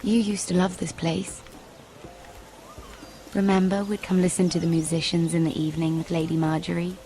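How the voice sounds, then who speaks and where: An actress playing a genteel young handmaiden in a video game, confiding and somewhat hesitant. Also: female voice, fairly low pitch, little pitch movement, normal speed, warm tone, lightly acted. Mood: wistful, playful. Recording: faint music behind.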